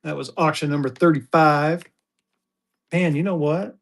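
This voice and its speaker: A man hosting a live-stream auction of paper money, chatty, jokey and excitable. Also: fairly high pitch, measured pace, creaky. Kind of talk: casual live-stream selling chat.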